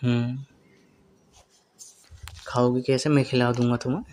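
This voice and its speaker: A man's voice: mid-range voice, level tone, a little slow, darkish tone. Young man teasing on a private call.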